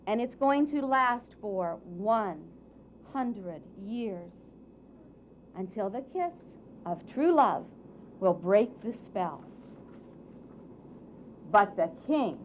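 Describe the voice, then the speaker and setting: A woman storyteller with a high, very animated voice tells a tale to children. She is theatrical and unhurried, on a slightly dull recording. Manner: theatrical, deliberate, coaxing audience questions. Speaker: puppet show storyteller. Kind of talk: expressive live storytelling for children. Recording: dull, faintly noisy live recording.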